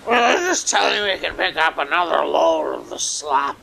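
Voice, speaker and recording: A man playing a seedy, shady meat supplier in a horror audio drama, with a gravelly, sharp, drawling voice. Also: on the high side, animated, moderate pace, slight background noise, exaggerated voice. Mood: brash, careless.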